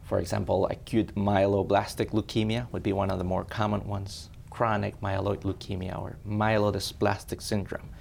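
A low, deeply gravelly male voice, a man from Ecuador who works as a hematologist. He explains blood disorders patiently and in a friendly way, leaning on examples. Faint noise sits behind him. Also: flat delivery, moderate pace, hardly any pauses, darkish tone. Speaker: hematologist guest. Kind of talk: explanatory interview answers.

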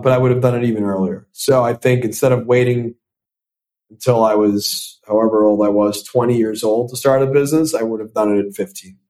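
A man's voice: mid-range voice, darkish tone. A young man speaking as an investor guest in a podcast interview, answering thoughtfully and often restarting with repeated words. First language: Italian. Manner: thoughtful, repeats words when starting sentences. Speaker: venture capital investor, guest.

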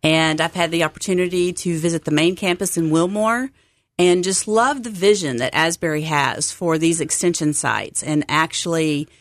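Upbeat woman from Texas, lower-pitched voice. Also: normal speed, no echo. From Texas, United States. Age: middle-aged. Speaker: interview guest, seminary site coordinator. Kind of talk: conversational radio interview.

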